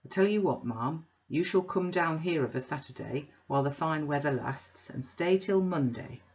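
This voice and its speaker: A woman voicing a blustering, boastful middle-aged businessman who talks loud and blunt. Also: deep voice, animated, normal speed. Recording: dull lo-fi recording.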